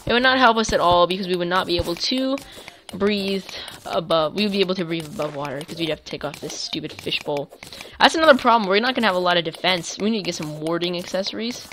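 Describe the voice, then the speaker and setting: A woman casually commentating her own gameplay for viewers, chatty and quick to get excited. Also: on the low side, slight background noise. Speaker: gaming YouTuber. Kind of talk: let's play commentary.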